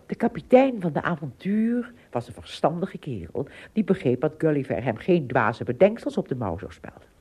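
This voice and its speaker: A woman narrating a scripted story in an expressive, measured storytelling manner. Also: low voice, breathy edge.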